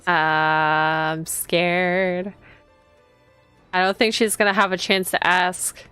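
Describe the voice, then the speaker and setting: A woman's voice: lowish voice, level tone, slow pace, bright, forceful tone, clear, resonant tone, faint music behind. A woman reading visual novel text aloud as a streamer, expressively, with casual reactions to the story.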